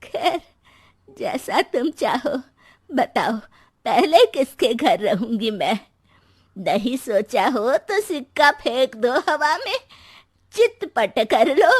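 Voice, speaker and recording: Sorrowful old mother played by a woman: husky, slow, plaintive, with wry touches. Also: a little high, big pitch swings, dry recording, studio quality, voice pushed up, exaggerated voice.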